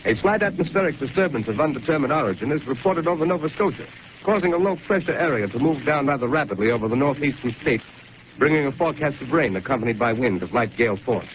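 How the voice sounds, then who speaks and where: An actor playing a radio announcer, reading in a quick, matter-of-fact way. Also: male voice, mid-range voice, animated, natural voice. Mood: announcing. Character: crisp male radio announcer in a broadcast drama. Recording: tinny noisy 1930s radio broadcast.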